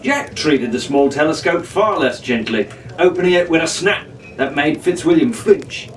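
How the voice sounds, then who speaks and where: A man's voice: a little high, expressive, moderate pace, far from the mic. Male storybook narrator, theatrical pirate-captain delivery, roomy recording, faint noise. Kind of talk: scripted dramatic narration reading a children's pirate novel.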